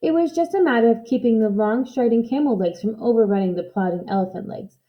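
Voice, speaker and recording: A woman reading a children's story aloud in an expressive narrating style. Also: on the high side, fast talker, dull audio. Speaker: storybook reader.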